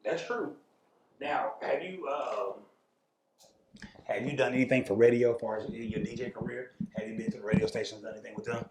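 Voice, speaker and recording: A man's voice: medium pitch, fairly quick, darkish tone. Man interviewing casually in an echoey room. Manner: informal, agreeable, frequent affirmations. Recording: roomy echo on the voice.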